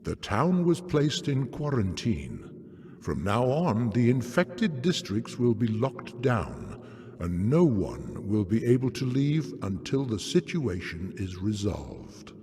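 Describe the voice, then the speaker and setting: An actor playing a stern, scornful man in a game cutscene, speaking in a deliberate, biting, theatrical way. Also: medium pitch, very animated, dark tone. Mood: grave.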